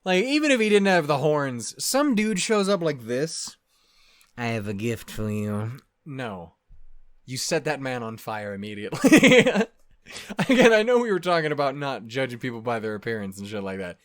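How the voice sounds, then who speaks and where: A man giving casual, off-the-cuff commentary to viewers as a reaction host, with animated asides and frequent swearing. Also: on the high side, moderate pace, dry recording, studio quality.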